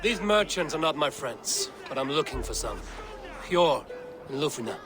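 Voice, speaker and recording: An actor playing a stern, blunt male Viking warrior in a game cutscene, speaking in a steady, measured way. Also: a little high, over music. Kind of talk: video game cutscene acting.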